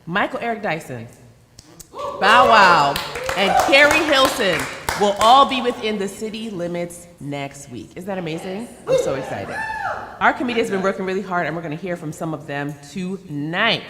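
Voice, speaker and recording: A woman's voice: mid-range voice, expressive, moderate pace, heavy background noise. A woman hosting a live show, playfully teasing and chatting back and forth with her audience. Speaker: host. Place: event space with a live audience. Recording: echoey hall.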